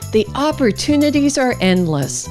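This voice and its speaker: A woman reading a scripted appeal in a clear, inviting voice-over. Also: sits high, big pitch swings, moderate pace, a little mellow, faint music behind. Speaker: announcer.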